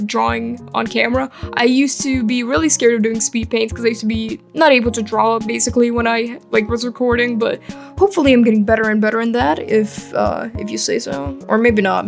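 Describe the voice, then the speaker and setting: Young female art YouTuber, American accent, fairly high voice with vocal fry, chatty and self-deprecating over background music. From England and Eastern Europe, later New York. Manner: rambling, self-deprecating, giggly. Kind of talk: casual chatty speedpaint commentary.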